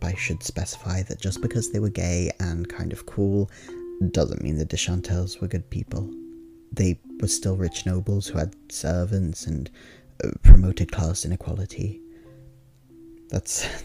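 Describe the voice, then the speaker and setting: Guy acting as a deep voiced rambly asmr roleplay dude, friendly n wry, bit gravelly, music behind. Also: fairly even intonation, unhurried. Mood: earnest, wry. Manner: conversational, wry, hesitant asides. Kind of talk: ASMR roleplay monologue, casual rambling storytelling.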